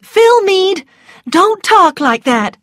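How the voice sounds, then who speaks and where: A gentle, caring young married lady from a storybook, acted by a woman. She speaks in a high, earnest voice with firm urgency, recorded dry and close. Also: big pitch swings, takes it slowly, no room sound, full character voice. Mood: urgent, firm.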